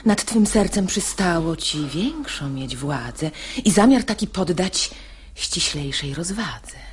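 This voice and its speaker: A very husky, breathy female voice as a shrewd married lady in a radio play. She speaks verse slowly and with lively swoops, mannered and poised. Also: on the low side, full character voice. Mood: cool, reproving. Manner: mannered, expressive declamation. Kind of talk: radio drama acting in verse.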